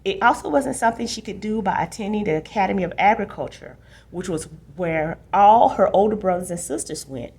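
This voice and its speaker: A woman's voice: mid-range voice, lively intonation, a little fast, a little mellow, husky. A woman hosting a book session for tweens, talking casually and reading aloud. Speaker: host, library book presenter.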